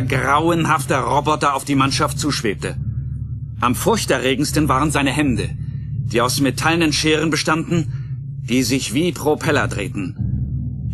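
Suspenseful male narrator over music. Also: mid-range voice. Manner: suspenseful, deliberate phrasing. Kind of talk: dramatic scripted narration for audio drama. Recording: music clearly behind the voice.

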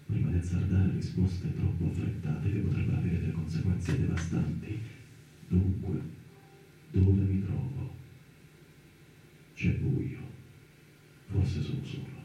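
A very dark, mellow male voice in a cavernous echo, far from the microphone. a lost, trapped soul in a radio play, questioning himself in halting theatrical phrases. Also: very deep voice. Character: trapped, confused man alone in darkness, searching for himself. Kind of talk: Italian radio theatre monologue and dialogue. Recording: very echoey, distant, noisy radio drama recording.

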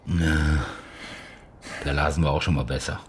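An actor playing a jaded, demanding newspaper editor in a radio play, curt and dismissive. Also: male voice, a bass voice, steady tone, unhurried. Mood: dismissive, bossy.

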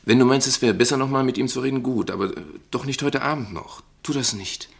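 A worried, protective man in a radio drama. His medium-pitched voice is soothing and gently pleading, always trying to dissuade. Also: quick pace, vocal fry, slightly rough. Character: concerned, soothing male companion. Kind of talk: German radio play acting.

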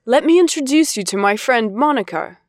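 A woman reading scripted English course dialogues in a deliberate, carefully enunciated way. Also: high voice, lively intonation, no room sound, studio quality. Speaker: language course voice actor.

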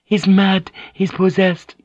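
A man voicing a quick-witted Japanese noblewoman, urgent and deferentially pleading. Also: medium pitch, level tone, no room sound, highs cut off, voice pushed up, natural voice. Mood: alarmed.

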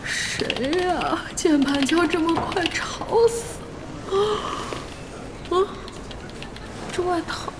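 A woman's voice: very high voice, drawn-out words, pauses often, background noise, exaggerated voice. An actress playing a feisty, blunt young woman who runs an internet cafe, brash and exclaiming, in an audio drama. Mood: annoyed. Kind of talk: Chinese audio drama acting.

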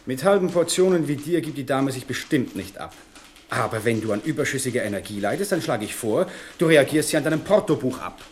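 A man's voice: mid-range voice, expressive, brisk, slight background noise, close to an everyday voice. An actor playing a dry, matter-of-fact male office clerk in a German radio drama. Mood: snappish. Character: male office clerk, deferential upward, snappish toward the junior.

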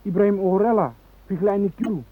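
An actor in a radio play playing a fanatical, impatient militant hostage-taker who speaks in an emphatic, agitated, declamatory way. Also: male voice, high voice, fairly quick, dry recording, narrow, tinny audio. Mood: fervent. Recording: thin, noisy old radio recording.

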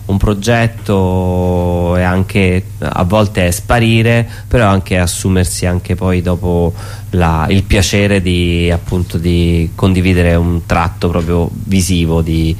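A man speaking as a theatre performer in a casual radio interview, explaining things in a wordy, halting way. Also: sits low, level tone, a little mellow, clear, resonant tone.

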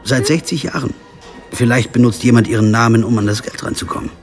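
An actor playing a wry, weary lawyer in a radio crime drama, narrating and speaking in a dry, laconic way. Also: male voice, mid-range voice, fast, close to an everyday voice. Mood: questioning. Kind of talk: first-person radio drama narration and dialogue. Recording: music behind the voice.

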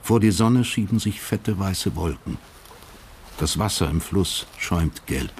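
An actor as the detached narrator of a historical crime radio drama, measured and factual. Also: male voice, low voice, expressive, darkish tone, slight background noise.

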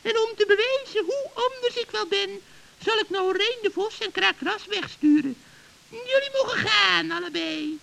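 An actor in a children's radio play voicing a cackling old witch, sugary and scheming, with a creaky, shrill voice. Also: moderate pace, bright, forceful tone, heavily acted. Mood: sweetly gushing. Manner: wheedling, gushing. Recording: hissy old recording, echoey hall reverb.

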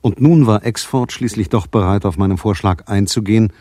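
A man plays a methodical private detective in a radio crime play. His voice is lowish and husky, recorded dry and close. He talks in a measured, matter-of-fact way. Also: dark tone, no room sound, close to an everyday voice. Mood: sober. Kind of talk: German radio crime drama acting.

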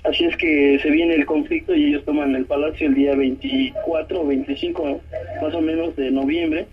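A man from Oaxaca with a southern Mexican accent gives a halting spoken testimony by telephone, often adding short tag questions. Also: fairly high pitch, flat delivery, husky. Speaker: detained community activist giving a statement. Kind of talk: spoken testimony by phone to a press conference. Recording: muffled, narrow-band telephone line.

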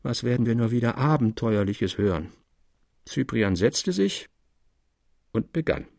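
A man narrates a literary tale in a husky voice with a gravelly edge. His pitch moves in lively waves through the formal, scripted prose. The sound is dry and close. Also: unhurried, dry recording. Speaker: narrator of a literary audio play.

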